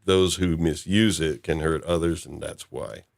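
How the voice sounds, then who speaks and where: Low-voiced man hosting, dry close sound. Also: fairly even intonation, unhurried, gravelly, dry recording. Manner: informal, rambling, discursive. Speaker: host. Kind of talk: casual podcast discussion.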